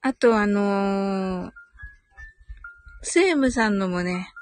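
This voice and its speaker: A woman's voice: medium pitch, slow pace, no echo, soft background music. A woman hosting a casual live audio chat, answering listener comments in a giggly way, often repeating short phrases of agreement.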